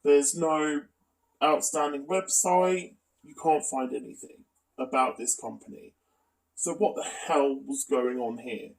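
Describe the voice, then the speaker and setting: Gravelly man presenting an exposé. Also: a little high, a little slow, low-quality recording. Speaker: video commentator presenting an exposé. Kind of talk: spoken commentary reading out evidence.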